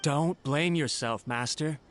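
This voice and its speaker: Higher-voiced, loyal young servant. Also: male voice, takes it slowly, fairly bright tone, slight background noise. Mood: consoling. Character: loyal, deferential young male servant, caring. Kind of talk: video game voice acting.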